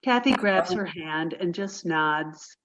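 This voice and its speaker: A woman's voice: fairly low pitch, slow, a bit bright, dull audio. A woman reading stage directions as the narrator of a play, in scripted narration.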